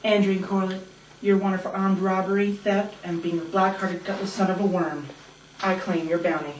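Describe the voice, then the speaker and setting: A woman's voice: medium pitch, little pitch movement, normal speed, close to an everyday voice. A woman playing a scary, taunting female bounty hunter in a western radio play, declaiming her threats. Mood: menacing. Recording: roomy, distant mic, faint noise.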